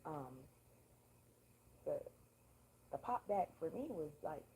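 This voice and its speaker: Woman, livestream host, hoarse, laid-back and profane, low voice, faint background hiss. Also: animated, slow, warm tone, rough recording. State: hoarse, lost voice. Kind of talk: casual livestream chat.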